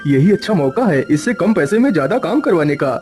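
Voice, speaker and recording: A man's voice: a little high, sing-song, brisk, a little mellow, faint music behind. A man voicing a crafty, stone-hearted cartoon moneylender with a condescending, calculating manner. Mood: sly, calculating.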